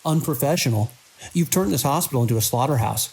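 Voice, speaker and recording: An actor playing a beleaguered, idealistic hospital director in a horror audio drama, speaking earnestly and urgently in halting phrases. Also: male voice, mid-range voice, expressive, gravelly, darkish tone, breathy edge, rough recording. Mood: defiant, indignant.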